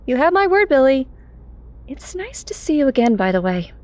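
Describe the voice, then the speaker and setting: Woman playing higher-pitched defiant warrior. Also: very animated, moderate pace, darkish tone, dry recording. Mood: wry, sincere. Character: defiant, battle-hardened female ex-assassin turned ally. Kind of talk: audio drama acting.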